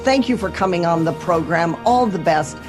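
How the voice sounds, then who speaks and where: A woman's voice: lowish voice, lively intonation, moderate pace, over music. A woman with an American accent hosting and interviewing on a talk radio show.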